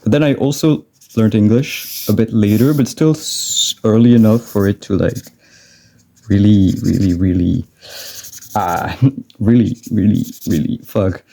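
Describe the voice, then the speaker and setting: A man's voice: fairly low pitch, steady tone, measured pace, vocal fry, warm tone. A young man from France, chatting in a rambling way and laughing often while he draws for a vlog. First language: French. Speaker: drawing vlogger. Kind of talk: casual rambling chat while drawing.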